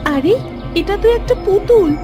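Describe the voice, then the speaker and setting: A woman's voice: very high voice, expressive, unhurried, over music. A woman playing a poor, emotive village wife who longs for her child, in a cartoon fairy tale. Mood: delighted surprise.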